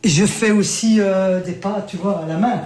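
A woman's voice: medium pitch, moderate pace, reverberant, background noise. Chatty woman in her sixties. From Belgium. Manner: chatty, many fillers and "tu vois". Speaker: interviewee. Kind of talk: casual reminiscing interview.

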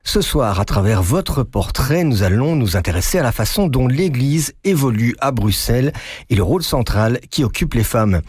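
A man hosting a radio interview, asking polite, measured questions. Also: mid-range voice, a little mellow, breathy edge, no room sound, clean studio sound. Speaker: host.

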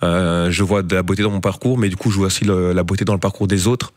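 Low-voiced playful young man. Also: level tone, quick pace, a little mellow, no echo, clean studio sound. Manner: playful, joking, hesitant with many fillers. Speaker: comedian guest. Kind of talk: casual radio interview.

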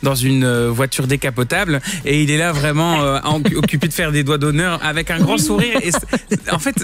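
A man with a Belgian French accent and a fairly high voice, chatting as a radio co-host. He explains things informally, feeling his way through sentences in a casual studio conversation. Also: normal speed, background noise. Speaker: radio show co-host and series presenter. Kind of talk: casual on-air chat.

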